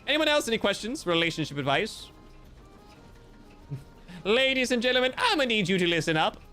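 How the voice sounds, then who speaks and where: A man's voice: high-pitched, sing-song, moderate pace, pushed and bright, faint music behind. A man streaming live, reading out viewer comments in a playful, theatrical way with mock-grandiose joking. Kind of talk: casual livestream chat reading viewer comments.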